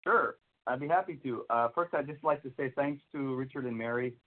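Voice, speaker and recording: A man from the American Midwest in his fifties, a guest on a call, telling his career story in a friendly, earnest, slightly halting way. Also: medium pitch. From United States (Minnesota, Iowa). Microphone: phone. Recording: tinny phone line from far off.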